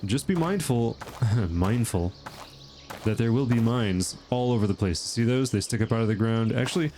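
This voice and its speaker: A man's voice: mid-range voice, expressive, normal speed, dark tone, a little hiss or hum. A man hosting a casual gameplay video, chatting and thinking aloud with lots of fillers while his nose is stuffed up from allergies. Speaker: gaming channel host.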